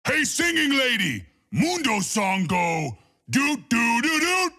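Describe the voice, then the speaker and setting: A man playing a big, dim-witted, crazed brute who thinks he is a doctor, in a video game, speaking gravelly broken grammar with a booming delivery. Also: huge pitch swings, takes it slowly, bright, forceful tone, heavily acted. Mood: boisterous, playful. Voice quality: gravelly, raspy. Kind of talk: video game character voice lines.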